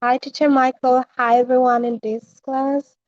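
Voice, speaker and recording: Teen girl from the dominican republic, earnest, kinda high but mellow, dry muffled call audio. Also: flat delivery, normal speed, no room sound. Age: teens. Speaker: student. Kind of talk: class discussion answer.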